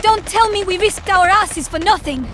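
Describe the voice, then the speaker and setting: A feisty, skeptical woman soldier , acted out by a man, speaks in an extremely high voice. The dialogue belongs to a sci-fi audio story and has faint noise behind it. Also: moderate pace, pushed and bright. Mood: indignant.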